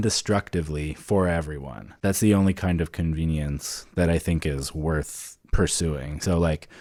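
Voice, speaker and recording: Male podcast co-host, low voice, deliberate explanatory delivery, conversational commentary, very dry sound. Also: a little mellow, dry recording.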